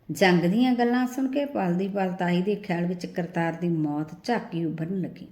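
A woman reading a literary story aloud in an expressive narrating style. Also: medium pitch. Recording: roomy, echoing voice recording.

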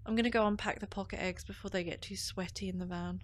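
A woman's voice: mid-range voice, steady tone, fast, very noisy, lightly acted. A woman playing a kind, earnest, apologetic café owner in a comedy radio play. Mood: weary, hurt.

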